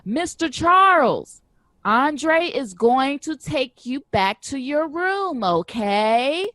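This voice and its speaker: Sassy care worker in an audio drama, high lively voice, playful. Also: female voice, takes it slowly, dry recording, exaggerated voice. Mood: kindly, brisk. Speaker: actor. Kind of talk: naturalistic audio drama acting.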